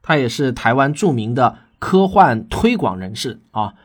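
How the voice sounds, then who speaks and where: Animated husky man, podcast host. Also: mid-range voice, a little slow. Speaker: podcast host and science fiction writer. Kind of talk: conversational explanatory monologue to listeners.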